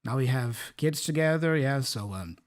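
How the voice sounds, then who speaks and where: A man's voice: medium pitch, lively intonation, moderate pace. A man in his thirties from Brazil with a Brazilian Portuguese accent, speaking English as a second language as a podcast co-host. He explains words in a friendly way and keeps checking in with his listener. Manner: friendly, often checks in with "right?" and "yeah?". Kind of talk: casual teaching chat.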